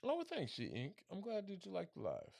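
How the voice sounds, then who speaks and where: A man hosting a casual livestream, talking through his plans in a rambling way and going hoarse. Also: sits high, huge pitch swings, a little fast, darkish tone, dry recording, clean studio sound. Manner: rambling, thinking aloud with restarts. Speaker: livestream host.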